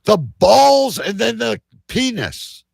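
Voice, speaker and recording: A man's voice: high-pitched, very animated, takes it slowly, creaky, darkish tone, husky, no room sound, studio quality. A man hosting a live talk show, reading out odd news and bantering casually with crude jokes. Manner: crude, joking.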